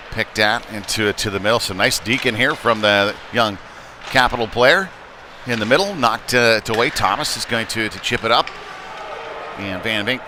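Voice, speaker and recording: A man doing live play-by-play commentary of an ice hockey game in a casual, unpolished, conversational way. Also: fairly low pitch. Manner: excited calls when play heats up. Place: indoor ice rink. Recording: noisy live rink stream, clear background noise. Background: rink ambience and noise.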